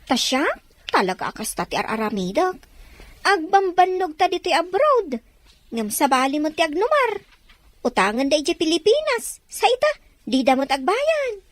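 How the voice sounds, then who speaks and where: High-pitched, emphatic woman advising a friend. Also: big pitch swings, normal speed, no room sound. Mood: concerned. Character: practical, cautionary woman working abroad, advising her friend. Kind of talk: radio drama dialogue.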